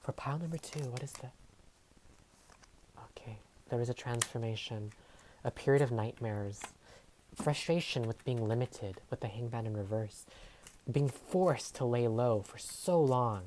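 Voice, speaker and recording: Very low-voiced woman reading tarot. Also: unhurried, a little mellow, a little hiss or hum, low-quality recording. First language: Tagalog. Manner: meandering, hesitant, full of fillers and trailing phrases. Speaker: tarot reader. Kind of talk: casual tarot reading monologue. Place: home filming setup. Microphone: overhead camera setup.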